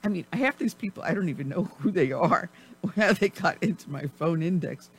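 A chatty woman hosts a talk radio show, rambling from one everyday story to the next and laughing often. Her voice is starting to go hoarse. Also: fairly low pitch, lively intonation, a little fast. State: losing her voice. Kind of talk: casual talk radio chat.

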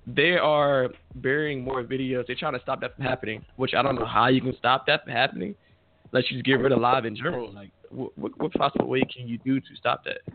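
A man's voice: medium pitch, fairly even intonation, fairly quick, slight background noise. A man co-hosting a casual podcast, bantering in a laid-back way while losing his voice. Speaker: podcast co-host. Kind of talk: casual chat. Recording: tinny phone-like sound.

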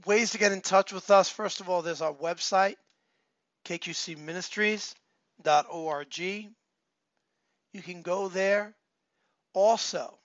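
An earnest man pastor, speaking a little high, leans on repeated phrases and frequent ums. His voice is still rough from a recent cold. The recording is dry and somewhat muffled. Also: fairly bright tone, no room sound. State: recovering from a cold, voice not fully back. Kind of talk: online church service, announcements and appeals.

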